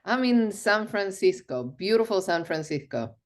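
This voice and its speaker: A woman's voice: mid-range voice, sing-song, normal speed. A middle-aged woman from Argentina, a podcast guest recounting personal stories over a remote call. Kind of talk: storytelling interview.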